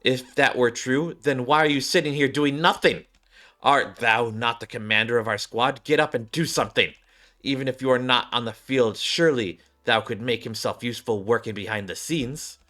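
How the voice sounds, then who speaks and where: A man's voice: mid-range voice, moderate pace, fairly bright tone, close to an everyday voice. A man voicing an indignant woman soldier who speaks in formal, archaic diction in a video game story. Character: angry archaic-speaking woman soldier with a sword, confronting her commander.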